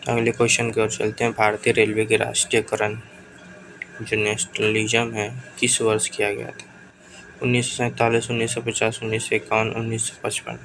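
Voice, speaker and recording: A man teaching an exam-prep lesson with a sore throat, reading out quiz questions and options in a brisk, hurried way and pushing viewers to answer quickly. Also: lowish voice, noisy background.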